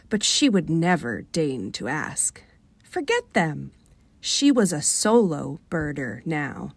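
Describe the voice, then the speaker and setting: A woman with a Rochester, New York accent reading a short story for radio in an expressive, wry manner. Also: lowish voice, no echo. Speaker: author reading aloud.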